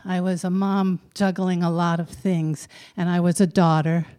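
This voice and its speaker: A middle-aged woman telling a personal story to an audience in a frank, conversational way, pausing on small fillers. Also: on the low side, little pitch movement, mellow.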